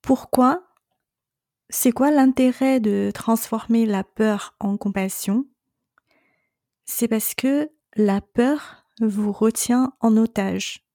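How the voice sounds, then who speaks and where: A middle-aged woman hosting a solo coaching podcast, speaking calmly and reassuringly straight to her listener. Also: a little high, takes it slowly, no echo, studio quality. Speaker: separation coach and podcast host.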